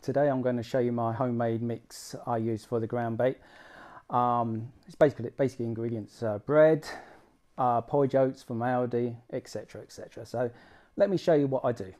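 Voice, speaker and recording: A man's voice: mid-range voice, steady tone, mellow. Casual middle-aged British man demonstrating. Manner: informal, self-deprecating, halting while busy with hands. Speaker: fishing vlog host. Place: small room at home, indoors.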